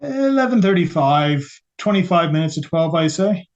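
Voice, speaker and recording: A careful 1890s police captain on the witness stand , played by a man, with a fairly high male voice. He gives short, guarded answers in a trial reenactment, and the sound is a little dull and lo-fi. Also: animated, moderate pace. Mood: guarded, terse. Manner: clipped, hedging answers. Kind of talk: audio reenactment of a court transcript.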